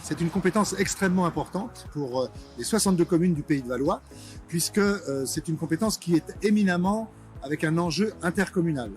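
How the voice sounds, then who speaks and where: A man speaking as a local government official, giving a prepared institutional statement in a measured way with frequent hesitations. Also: a little high, lively intonation, soft background music.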